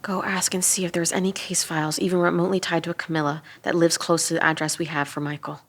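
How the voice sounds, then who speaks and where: An actress playing a steady female police detective who questions a suspect directly in an audio drama table read. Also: on the low side, fast. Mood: firm, impatient. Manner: probing, direct.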